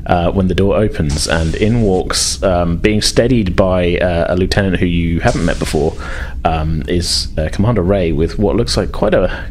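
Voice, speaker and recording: Low-voiced, informal male game master. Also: normal speed, few pauses, a little mellow, slight background noise. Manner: hedging, informal. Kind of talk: narrating a tabletop game, conversational.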